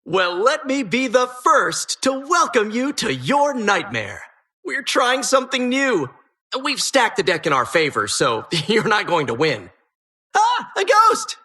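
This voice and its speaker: A bright, sinister, swooping dungeon host. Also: female voice, on the high side, wildly swooping pitch, a little slow, full character voice. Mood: mock welcoming, then theatrical, dramatic. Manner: mock-cheerful, sardonic, teasing, theatrical. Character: gleefully sinister, playful disembodied female dungeon host. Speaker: game announcer. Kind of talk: theatrical video game voice lines.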